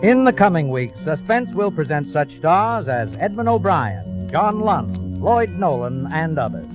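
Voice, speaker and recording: A man's voice: fairly high pitch, sing-song, measured pace. A man giving formal, scripted 1940s radio announcements and credits in a projected style. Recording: muffled old radio recording, loud music.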